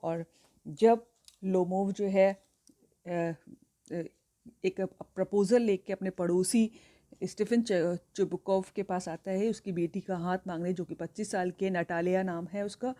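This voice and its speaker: Indian woman teacher, explains each line to students, mellow and warm tone. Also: lowish voice, steady tone, measured pace. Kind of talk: classroom explanation of a play.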